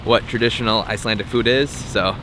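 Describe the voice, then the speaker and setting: Casual young guy narrating his trip, joking around, bg music pretty loud. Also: lowish voice, steady tone, fairly quick, rough recording. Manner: upbeat, chatty, light jokes. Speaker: travel vlogger and interviewer. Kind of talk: casual travel vlog narration. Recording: background music under voice.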